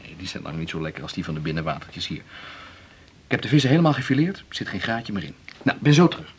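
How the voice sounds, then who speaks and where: An actor with a Netherlands Dutch accent playing a playful, romantic cellist hosting a dinner date in a 1980s radio drama. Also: male voice, low voice, fast. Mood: attentive, brisk. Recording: 1980s radio recording, clear background noise.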